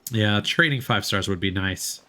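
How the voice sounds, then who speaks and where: A man's voice: on the low side, normal speed, sharp tone. A man giving casual, informal, sweary live commentary as a game streamer.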